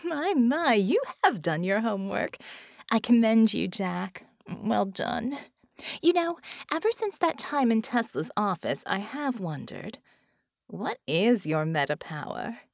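A woman's voice: a little high, sing-song, slow, vocal fry, no echo, clean studio sound, pitched above the performer's own voice, exaggerated voice. A woman voicing a seductive, menacing female lamia demon who hisses and purrs her words. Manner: silky, menacing, hissing.

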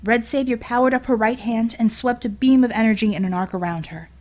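A woman's voice: fairly high pitch, brisk, a little mellow. A woman reading a scripted action story as a dramatic audiobook narrator.

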